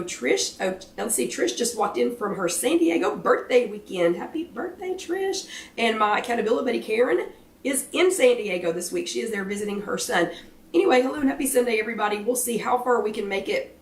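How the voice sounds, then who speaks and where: An American woman hosts a casual live chat in a hoarse, worn voice. She is chatty and a little apologetic, speaking at an average pitch. She sounds set back from the microphone in a roomy, echoing space. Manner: chatty, apologetic, self-deprecating. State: hoarse, failing voice. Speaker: host of a live weight-loss chat. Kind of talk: casual livestream chat greeting viewers. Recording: roomy echo, distant microphone.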